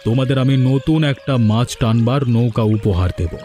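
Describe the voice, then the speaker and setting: A man voicing a clever, kindly rich businessman from the city in a cartoon, speaking calmly and with confidence. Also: mid-range voice, moderate pace, faint music behind, lightly acted. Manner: calm, assured, instructing. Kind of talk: cartoon voice acting.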